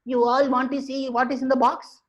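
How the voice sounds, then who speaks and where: A small, excitable monkey from a children's story, acted out by a man. The voice is pitched high and turns whiny and exclaiming with every question. The recording is dull and lo-fi. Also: brisk. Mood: excited. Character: sulky, startled little monkey, childlike and excitable. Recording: dull, muffled lo-fi audio.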